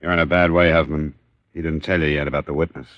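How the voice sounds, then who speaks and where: An actor playing a steady, probing American insurance investigator in an old radio crime drama, speaking in terse, measured questions and dry narration. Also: male voice, a bass voice. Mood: pressing. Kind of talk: 1950s radio detective drama acting. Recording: muffled vintage radio recording.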